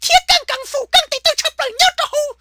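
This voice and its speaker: Squeaky, piercing cartoon character. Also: female voice, quick pace, very noisy. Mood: chattering. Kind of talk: comic cartoon voice acting.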